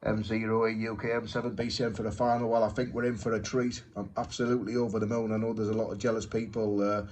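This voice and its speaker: A man chatting as an amateur radio operator, speaking in short, halting pieces. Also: fairly low pitch, flat delivery, darkish tone, slight background noise. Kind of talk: unscripted ham radio contact chat.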